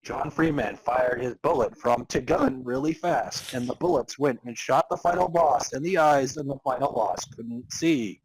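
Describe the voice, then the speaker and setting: A man's voice: mid-range voice, moderate pace, few pauses, a little mellow, rough recording. A man reading amateur fan fiction aloud as narrator, in a mock-serious dramatic storytelling style. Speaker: podcast host reading as narrator.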